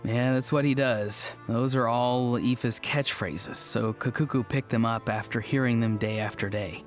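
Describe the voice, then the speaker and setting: Brief: actor as an easygoing young guy, mid pitch, dry amused storytelling, faint music. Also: a little slow, lightly acted. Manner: relaxed, dryly amused storytelling.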